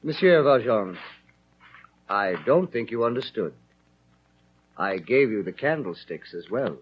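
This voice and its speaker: A man playing a dignified, forgiving old bishop in a 1930s radio drama, calm and measured. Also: medium pitch, slight background noise, close to an everyday voice. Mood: gently insistent. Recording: muffled vintage 1930s radio recording.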